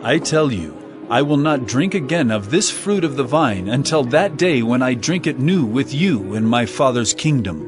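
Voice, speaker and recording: Guy acting solemn caring male teacher in a bible drama, very expressive pitch, music behind him. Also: normal speed, hardly any pauses, natural voice.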